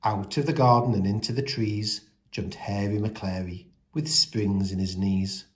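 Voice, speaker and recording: Casting: male storyteller, lowish voice, expressive read-aloud of rhyming picture books for young children. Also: unhurried.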